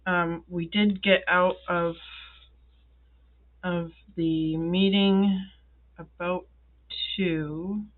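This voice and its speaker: A woman who is ill and congested, chatting slowly while she fills in her planner at a desk, with many ums and pauses. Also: lowish voice, steady tone, phone-quality sound. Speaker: planner vlogger. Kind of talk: casual talk while filling in a planner. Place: indoors at a wooden desk.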